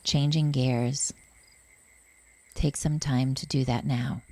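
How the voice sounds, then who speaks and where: Slow, low-voiced woman guiding meditation. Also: steady tone. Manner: soothing, gentle, unhurried with long pauses. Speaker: meditation guide, yoga teacher. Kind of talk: guided yoga nidra meditation.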